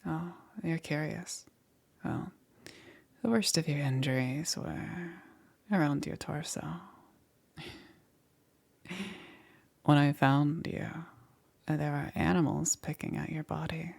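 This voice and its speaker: A woman playing a soft-spoken, secretive witch in an intimate ASMR roleplay, soothing and hesitant, often dropping into a whisper. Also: deep voice, steady tone, a little slow, exaggerated voice. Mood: hesitant, uneasy. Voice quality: breathy, husky, often whispering.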